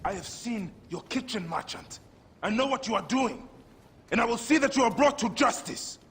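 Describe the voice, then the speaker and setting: An actor playing a stern, righteous warrior hero in a video game, intense and formal in phrasing. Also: male voice, very high voice, lively intonation, normal speed, fairly bright tone, slight background noise, full character voice. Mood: accusing. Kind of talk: video game character acting.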